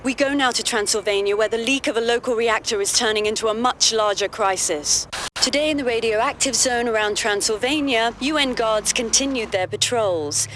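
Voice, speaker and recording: A woman's voice: a little high, normal speed, a bit bright, lightly acted. A woman playing a TV news anchor, reading formal news bulletins in a game cutscene, with noise behind her. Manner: crisp, formal news delivery. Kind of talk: scripted TV newscast. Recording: noisy background behind voice.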